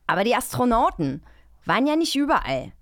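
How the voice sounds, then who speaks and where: A woman's voice: on the high side, big pitch swings, a little fast, dry recording, clean studio sound. A woman voicing a dreamy cartoon elephant from a children's radio play with a Berlin accent. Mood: wondering, insistent. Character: dreamy talking cartoon elephant in love, read in Berlin dialect. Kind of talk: playful cold reading of a children's radio play.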